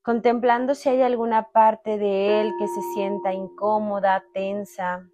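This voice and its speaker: A woman leading a guided meditation in a calm, unhurried way, speaking in short phrases with long pauses. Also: medium pitch. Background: meditation music with sustained tones.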